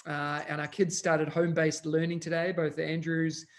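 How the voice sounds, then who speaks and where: A man's voice: fairly high pitch, level tone, normal speed. A man, an education technology consultant, hosting a conference session and talking with many uh and um fillers. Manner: fluent but full of uh and um fillers. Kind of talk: conference presentation.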